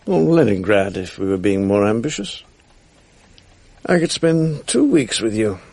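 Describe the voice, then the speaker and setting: A man's voice: fairly low pitch, sing-song, unhurried, darkish tone, dry recording, full character voice. An actor playing a world-weary, middle-aged upper-class Englishman in a radio drama, with an English accent and a wry, urbane, self-mocking manner. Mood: wry, tender. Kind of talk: 1980s British radio drama acting, intimate naturalistic dialogue. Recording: faint noise on archive radio recording.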